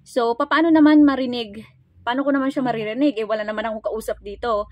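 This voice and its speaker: A woman's voice: on the high side, fairly even intonation, fast talker, a little mellow, dry recording. A woman with a Filipino accent giving a casual hands-on product tutorial as a vlogger, chatty and informal, speaking straight to her viewers. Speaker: vlogger and motorcycle gear seller demonstrating products.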